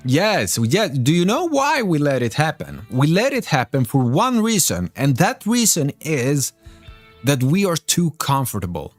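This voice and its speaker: A man's voice: a little high, huge pitch swings, unhurried, no echo, soft background music. A man from Greece and Sweden hosting a live stream, talking casually and earnestly about current events in English. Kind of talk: casual live political commentary.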